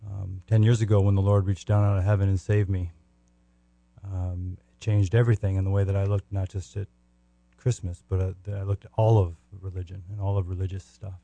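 A man from the United States speaking as a podcast guest in earnest, devout testimony and prayer, with halting phrasing and repeated words. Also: low voice, level tone, creaky, dark tone, dry recording.

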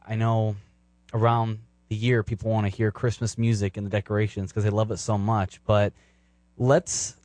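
A man's voice: on the low side, a little mellow, no echo. A man co-hosting a podcast, giving an earnest, unscripted personal reflection. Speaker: podcast co-host and sound engineer.

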